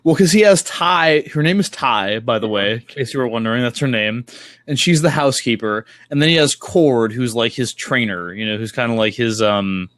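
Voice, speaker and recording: A man's voice: mid-range voice, moderate pace, fairly bright tone, no room sound. A man chatting casually and enthusiastically, rambling through recaps in an informal, sweary way. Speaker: podcast host or guest discussing comics.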